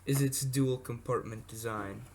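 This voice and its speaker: A man's voice: mid-range voice, steady tone, a little slow. A man giving a casual, unscripted show-and-tell as a hobbyist presenter, rambling off the cuff with asides and self-corrections. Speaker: hobbyist video presenter showing vintage electronics. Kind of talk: casual unscripted product demonstration. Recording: noisy amateur recording with clicks.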